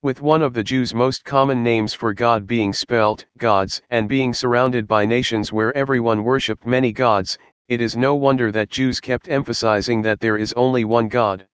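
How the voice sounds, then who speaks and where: A man reading a scripted Bible study in a measured, earnest, explanatory way. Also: medium pitch, fairly even intonation, clear, resonant tone, dry recording. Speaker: Bible teacher.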